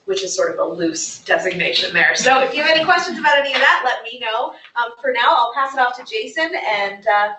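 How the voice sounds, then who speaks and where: Woman host doing event announcements, bright voice, mic far off in an echoey room. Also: a little high, animated, brisk, few pauses. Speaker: host introducing the workshop. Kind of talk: announcements and introduction. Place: classroom or meeting room.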